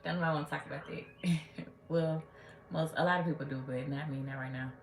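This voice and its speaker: A woman's voice: low-pitched, fairly even intonation, brisk, gravelly, a little hiss or hum. A woman in her late thirties hosting a casual livestream, speaking sassily and talking back to her viewers' comments. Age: 30s. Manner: sassy, talks back to commenters. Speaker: livestream host. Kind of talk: casual live chat with viewers. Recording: echoey room with faint ambience.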